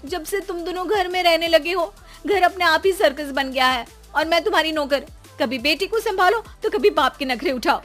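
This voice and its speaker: A woman playing an exasperated, sharp-tongued Indian mother who scolds and nags with theatrical sarcasm in a comic audio drama. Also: extremely high pitch, moderate pace, soft background music, voice pushed up.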